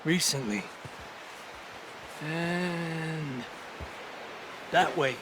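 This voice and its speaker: An actor playing a loyal, steady Mexican outlaw gunslinger in a video game cutscene. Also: male voice, on the high side, drawn-out words, noisy background. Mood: watchful.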